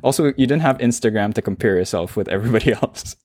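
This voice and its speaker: A man with a Trinidadian accent and a lowish voice, reflecting on his past as an interview guest. He talks in a relaxed, conversational flow and often says "you know". The recording is dry and close. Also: quick pace, no echo. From Trinidad and Tobago.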